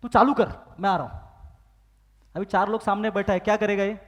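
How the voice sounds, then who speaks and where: A man's voice: high-pitched, steady tone, fairly quick, highs cut off. A man giving a motivational business training talk, firing questions at the audience and acting out phone calls and excuses. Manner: asks the crowd questions and demands loud answers. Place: large hall with live audience. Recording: long hall reverb.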